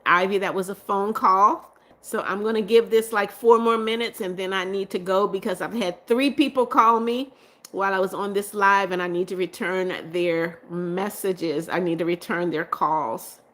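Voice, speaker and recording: A woman's voice: mid-range voice, steady tone, normal speed. Brief: middle-aged woman host, chatty livestream, reads and answers viewer comments. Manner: reads comments aloud and answers viewers warmly, laughs often. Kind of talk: casual livestream chat reading viewer comments.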